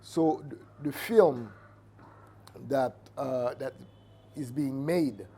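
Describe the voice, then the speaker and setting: Mellow middle-aged Haitian man, measured and halting. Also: medium pitch, breathy edge. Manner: measured, halting, searching for words. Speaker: Vodou priest and cultural entrepreneur. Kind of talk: reflective interview answer. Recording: noticeable background ambient noise.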